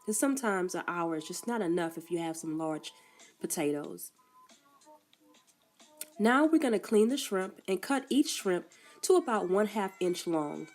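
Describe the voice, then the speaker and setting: A woman's voice: on the low side, animated, measured pace, darkish tone, soft background music. Congested woman giving cooking steps. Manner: plain step-by-step instructions with frequent coughs. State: congested from a cold. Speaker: cook. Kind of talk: casual cooking demonstration. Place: food truck kitchen.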